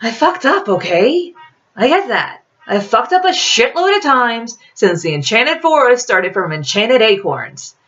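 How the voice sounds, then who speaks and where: A woman's voice: on the high side, sing-song, a little slow, a bit bright, pitched above the performer's own voice. A woman voicing a male rogue in a fiction reading, flippant, exasperated and pleading in manner. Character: smooth-talking, pleading male rogue, notorious crime lord. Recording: dull lo-fi audio.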